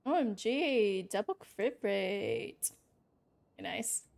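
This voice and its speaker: A woman's voice: medium pitch, lively intonation, slow pace, creaky, darkish tone. A young woman doing casual live gameplay commentary as a streamer, wry, rambling and playful. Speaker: game streamer. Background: game music.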